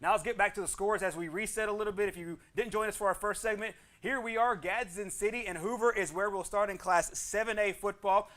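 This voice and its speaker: A man hosting a sports show, reading high school football scores in an emphatic announcer style. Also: steady tone, brisk, few pauses, a bit bright.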